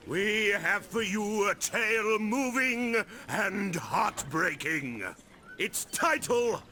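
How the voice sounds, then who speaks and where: An actor playing a florid, declamatory theatre herald in a video game, projecting a slow stage announcement. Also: male voice, extremely high pitch, sharp tone, exaggerated voice. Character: grandiose male theatre herald addressing the citizens.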